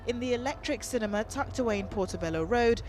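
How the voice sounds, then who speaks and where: A woman's voice: fairly high pitch, lively intonation, quick pace, noisy background. Woman reporter narrating a news feature.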